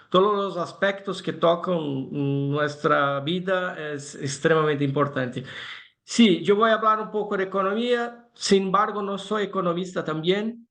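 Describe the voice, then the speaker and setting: A man from Brazil speaking with a Brazilian Portuguese accent as a guest expert, presenting in a webinar and haltingly searching for words in a language that is not his native Portuguese. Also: a little high, fairly bright tone.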